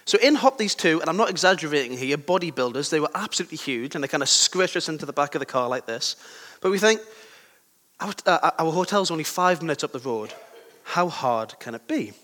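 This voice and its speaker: A young man with a sore throat and a slightly croaky voice, giving a conversational sermon with personal stories and questions to his listeners. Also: on the high side, expressive, a little fast, a bit bright. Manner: conversational, self-deprecating, asks the listeners questions. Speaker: preacher. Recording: long hall reverb.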